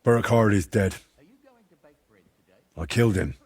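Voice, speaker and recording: A man playing a plain-spoken, conscientious young fighter in a medieval video game, with a husky voice and an understated delivery. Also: lowish voice, slow, darkish tone, exaggerated voice. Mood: sober, reflective.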